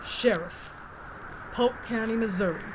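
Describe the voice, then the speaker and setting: A woman's voice: on the high side, unhurried, lightly acted. Woman playing a tough, drawling frontier sheriff. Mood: steely. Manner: plain-spoken, hard, folksy. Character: tough, blunt female Old West sheriff. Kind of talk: Western audio drama acting. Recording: muffled lo-fi with clear noise.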